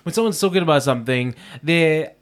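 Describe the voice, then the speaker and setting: A man whose first language is Samoan, co-hosting a podcast in an animated, jokey way. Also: a little high, brisk. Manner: animated, jokey, breaks into song and playful voices. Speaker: podcast co-host. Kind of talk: casual chat.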